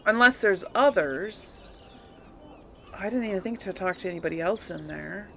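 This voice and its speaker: A woman giving casual let's play commentary to her audience, rambling and thinking out loud. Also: mid-range voice, animated, moderate pace, creaky, a little hiss or hum. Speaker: host of a gaming role-play series.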